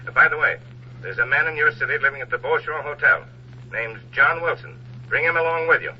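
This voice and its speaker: An actor playing an authoritative Navy admiral, formal, urgent and commanding, in a 1940s radio drama. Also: male voice, fairly high pitch, a little fast, close to an everyday voice. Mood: urgent, official. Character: brisk, authoritative male Navy admiral giving orders. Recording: muffled 1940s radio recording, faint noise.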